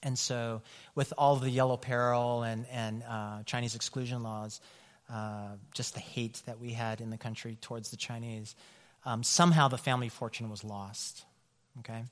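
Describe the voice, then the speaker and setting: A man's voice: mid-range voice, flat delivery, normal speed. A man from California, a pastor, telling personal stories in a loose conversational way with many fillers. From California, USA. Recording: long hall reverb.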